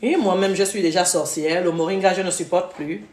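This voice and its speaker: A woman's voice: fairly low pitch, steady tone, fairly quick, darkish tone, a little hiss or hum. Live-stream host, woman from Gabon; heated scolding rant, echoey room sound. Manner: scolding, repetitive, switches to English briefly.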